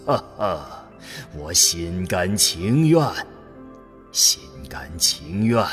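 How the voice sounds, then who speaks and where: A man playing a gentle, sentimental elderly grandfather who dotes on his cat in a radio drama. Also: sits low, big pitch swings, extremely slow, full character voice. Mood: fond, wistful. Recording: long reverb, music behind.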